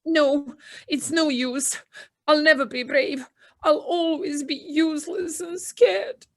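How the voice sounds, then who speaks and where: Timid small mole, female actor: gloomy, hesitant, high and slow, for audio drama. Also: fairly even intonation, no room sound, studio quality. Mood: despairing. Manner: hesitant, meek. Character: timid, gloomy, self-doubting little mole. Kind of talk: amateur audio play acting.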